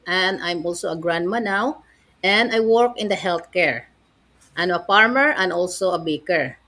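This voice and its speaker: A woman in her fifties with a Filipino accent. She speaks briskly in short, list-like phrases. She sounds like she is on a video call. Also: fairly low pitch, fairly bright tone. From Philippines. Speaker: panelist.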